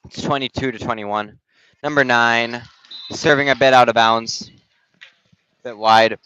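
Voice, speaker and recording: A young man calls a volleyball match play-by-play into a headset, casual and a little halting, stopping and restarting mid-call. His mid-pitched voice comes across smooth and resonant despite a slight rasp. The sound is close and dry, with a muffled, dull top end. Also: fairly even intonation, pushed and bright, no echo. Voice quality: slightly raspy. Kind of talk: amateur sports commentary. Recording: dull, dry gym-stream audio.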